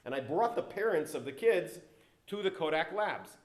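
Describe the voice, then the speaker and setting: Animated man telling business stories. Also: moderate pace. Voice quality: low voice. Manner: animated, jumps in pitch and loudness on key words. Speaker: guest speaker. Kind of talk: storytelling talk to an audience. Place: event hall with an audience. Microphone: handheld or stand mic, sometimes stepping away from it. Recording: roomy hall echo.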